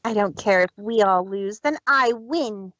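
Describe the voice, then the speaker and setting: Woman as a slow-spoken, deranged villainous cartoon mare. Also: medium pitch, a bit bright, highs cut off, a little hiss or hum, natural voice. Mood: deranged defiance. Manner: menacing, defiant, unhinged. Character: deranged villainous mare, snarling, refusing to lose. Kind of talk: fan fiction dramatic reading.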